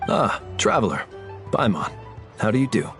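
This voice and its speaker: A man playing a composed, courteous gentleman soldier in an anime-style game, formal and thoughtful in his wording. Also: on the low side, sing-song, normal speed, music in the background. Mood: courteous, reflective.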